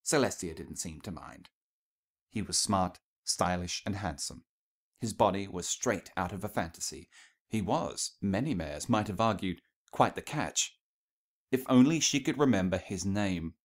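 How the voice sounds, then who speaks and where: A man's voice: low voice, animated, unhurried. A man reading scripted fiction aloud as a narrator, in a storytelling style.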